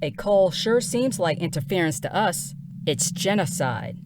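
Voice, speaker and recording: Animated woman in audio drama. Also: lowish voice, a little slow, noisy background. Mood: challenging. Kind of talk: scripted podcast introduction and audio drama dialogue.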